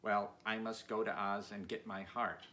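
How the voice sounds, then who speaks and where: A kindly tin woodman in a storybook, read by a man. He speaks low and plainly, with earnest politeness. Noticeable noise runs behind him. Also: flat delivery, moderate pace, pushed and bright. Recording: clear background noise.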